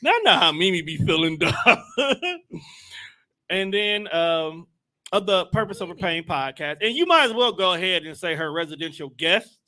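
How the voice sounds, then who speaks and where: Young guy hosting a podcast, jokey, bright voice that jumps all over. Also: sits high, normal speed. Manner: joking, animated, laughs often. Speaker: podcast host. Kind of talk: casual comedic chat.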